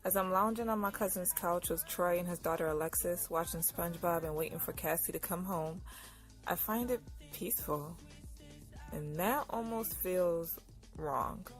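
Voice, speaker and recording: A woman's voice: fairly low pitch, moderate pace, soft background music, natural voice. An actress playing a young married woman in an audio drama, narrating and talking in a wry, conversational way. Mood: reflective. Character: Iris, weary young married woman narrating her own story. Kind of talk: first-person audio drama narration and dialogue.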